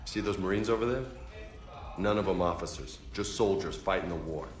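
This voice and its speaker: Man acting swaggering young soldier, friendly, teasing, lowish voice, video game dialogue, echoey room. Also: a little fast, over music, close to an everyday voice. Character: cocky, friendly young male marine lieutenant. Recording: roomy sound.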